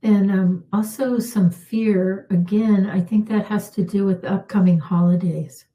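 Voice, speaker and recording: Middle-aged woman healer talking slow and calm, mellow voice, kinda losing her voice. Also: medium pitch. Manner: calm, unhurried, with fillers and soft pauses. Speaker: intuitive healer hosting a live session. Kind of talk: guided spiritual healing talk.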